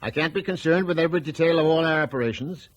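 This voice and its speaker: A man's voice: a little high, quick pace, muffled recording, lightly acted. A man acting in a radio drama as a ruthless, impatient company boss with a brusque, domineering manner.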